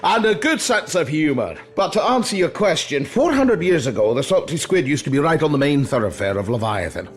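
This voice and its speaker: An actor playing a genial, chatty old immortal tavern keeper in an audio drama, with a jovial, teasing, folksy manner. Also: male voice, fairly high pitch, sing-song, brisk, few pauses. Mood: amused, explaining. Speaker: barkeep. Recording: music under the dialogue.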